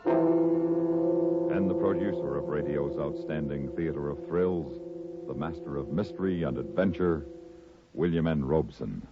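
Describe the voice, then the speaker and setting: A man's voice: a bass voice, lively intonation. A man announcing a 1950s radio thriller series in a dramatic, portentous style. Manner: slow, portentous. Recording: dull lo-fi 1950s radio recording. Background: loud music.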